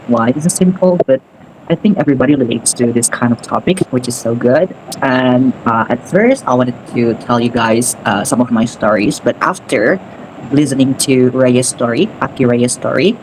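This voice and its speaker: A man, an English teacher, tells a story loosely and chattily, filling gaps with "like" and "um". His voice sits at an everyday middle pitch. Steady noise runs behind him on the call. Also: moderate pace. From Indonesia. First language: Sundanese. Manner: chatty, loose, with many fillers. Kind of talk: casual storytelling in a meeting. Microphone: video call. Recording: noisy background.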